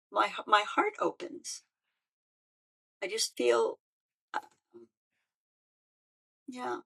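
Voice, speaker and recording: An older woman, a musician speaking in a halting, heartfelt way with frequent restarts. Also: mid-range voice, gravelly, fairly bright tone, poor audio. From United States. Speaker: musician and peace activist. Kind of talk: personal reflective talk.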